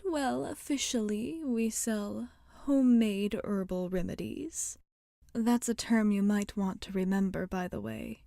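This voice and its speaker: A woman voicing a wry, rebellious witch shopkeeper in a soft-spoken ASMR roleplay, often slipping into whispers. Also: mid-range voice, gravelly, no echo, studio quality. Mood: gently welcoming, then wry, confiding.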